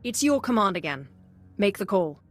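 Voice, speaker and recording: A woman playing a commanding Sith agent in a science fiction game, controlled, pragmatic and authoritative, with a medium-pitched voice. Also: big pitch swings, moderate pace, faint music behind. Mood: composed.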